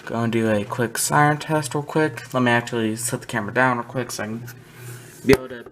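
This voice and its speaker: Male hobbyist presenter, medium pitch, casual and halting demo delivery, light background noise from a handheld camera. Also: fairly even intonation, a bit bright. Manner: halting, pausing mid-sentence. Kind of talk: casual unscripted product demonstration. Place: indoor room with wooden shelves. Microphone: handheld camera's built-in mic.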